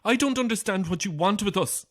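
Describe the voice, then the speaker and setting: A woman's voice: medium pitch, animated, brisk, close to an everyday voice. An actress playing a frightened but defiant young woman trapped in a house, in a naturalistic horror audio drama. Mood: tense, questioning.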